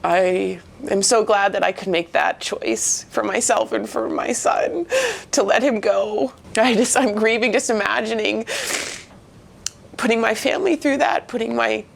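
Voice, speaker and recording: A woman's voice: fairly high pitch, measured pace, vocal fry, slight background noise. A woman in her forties from Maine with an American accent, giving a reflective, earnest personal account in an interview. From Maine, United States. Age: 40s.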